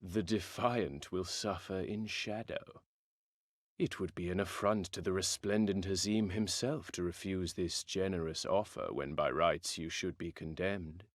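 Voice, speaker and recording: Arrogant sun tribe ruler in a game, low voice, slow n pompous, old-timey wording. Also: male voice, fairly even intonation, no echo, studio quality. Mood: cold disdain. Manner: pompous, archaic formal speech. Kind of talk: theatrical video game cutscene acting.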